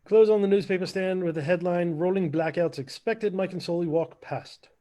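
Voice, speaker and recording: A man narrating stage directions in a scripted table read, matter-of-fact and a little halting. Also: high voice, mellow.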